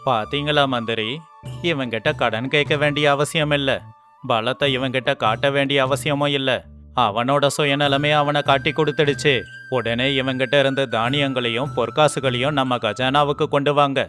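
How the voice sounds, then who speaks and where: A man's voice: medium pitch, fairly even intonation, normal speed, a bit bright, natural voice. Man acting a shrewd, sarcastic king over music. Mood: satisfied, assured. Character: shrewd, sarcastic scholar sitting as king, commanding. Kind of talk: animated moral story acting.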